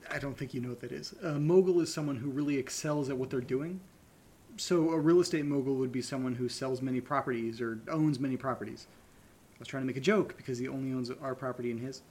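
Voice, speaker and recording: A man's voice: medium pitch, fast, darkish tone, lightly acted. A man playing a skeptical, bossy, sensible amateur investigator with a blunt, matter-of-fact delivery in an audio drama. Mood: dry. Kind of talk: naturalistic horror-comedy audio drama dialogue.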